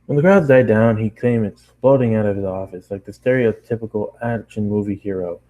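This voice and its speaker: A man's voice: fairly low pitch, brisk, warm tone, lo-fi sound. A man narrating a first-person story as an amateur reader.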